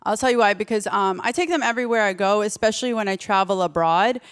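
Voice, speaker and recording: A woman delivers a stand-up comedy set with a smooth, clear voice. She talks conversationally, often breaking off and picking the thought up again. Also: medium pitch, fast talker. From United States. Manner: conversational, self-interrupting, acts out quotes.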